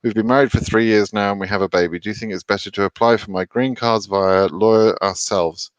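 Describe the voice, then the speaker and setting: A man's voice: lowish voice, fairly even intonation, fairly quick, clear, resonant tone, dry recording, muffled recording. A British man hosting a live question and answer stream, reading viewer questions aloud and answering them casually and frankly, with many ums and restarts. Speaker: immigration advice host answering live chat questions.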